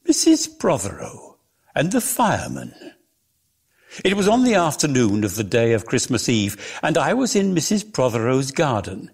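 A man giving a dramatic reading of a literary story in a measured, theatrical way, lingering on his words. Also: medium pitch, vocal fry, breathy edge. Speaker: reader.

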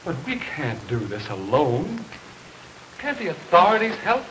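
Hissy, excitable anxious young man. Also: on the high side, a little slow. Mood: worried, urgent. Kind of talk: 1930s film acting. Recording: hissy, noisy 1930s film soundtrack. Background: rain and hiss.

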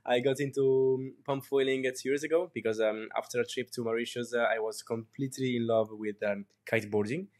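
A man's voice: medium pitch, fairly even intonation, fairly quick, few pauses, a little mellow. Young italian guy on a video call, earbuds, friendly with lots of uh's. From Italy. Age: 20s. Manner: friendly, lots of filler words and light laughs. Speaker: podcast co-host. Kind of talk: casual video-call podcast chat. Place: small home room.

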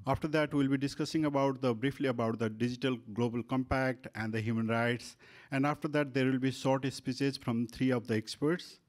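Halting Nepali man moderating a panel. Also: medium pitch, fairly even intonation. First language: not English. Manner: halting, frequent fillers and restarts. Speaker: workshop moderator. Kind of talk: conference panel presentation. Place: conference room with panel table. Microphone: handheld microphone.

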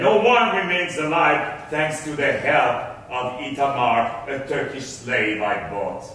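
A vengeful, scheming old-world merchant declaims stage verse with slow, drawn-out grandeur. The voice is high and ringing, heard in a very echoey concert hall. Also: male voice, pushed and bright, recorded from a distance. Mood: gloating. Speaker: stage actor. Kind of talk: theatrical staged reading of Elizabethan verse. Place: on stage in a wooden recital hall. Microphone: distant stage microphones.

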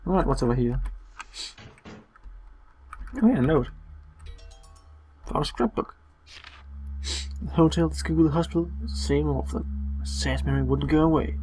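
A man giving casual, sarcastic and playful commentary on a horror game, swearing and reading game text aloud between quips, with a husky voice. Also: a little high, moderate pace, pauses often, darkish tone, slight background noise. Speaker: let's play commentator.

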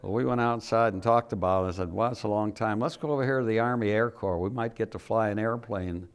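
A man's voice: lowish voice, quick pace, clear, resonant tone. An elderly American man, a veteran, telling a story from memory in a studio interview. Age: 70s or older.

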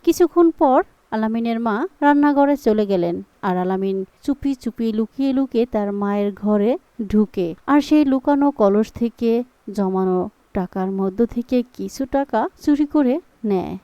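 Muffled, mellow woman storyteller. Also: a little high, moderate pace, clean tone, dry recording. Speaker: narrator. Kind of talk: scripted storybook narration for a cartoon.

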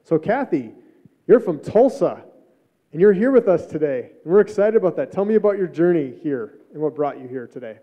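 A middle-aged man with a fairly high voice moderates a live panel, speaking unscripted as he hands questions to guests. The stage recording is a little dull. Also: big pitch swings, fairly quick. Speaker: panel host, startup mentor. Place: stage of an auditorium, indoors. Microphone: handheld microphone.

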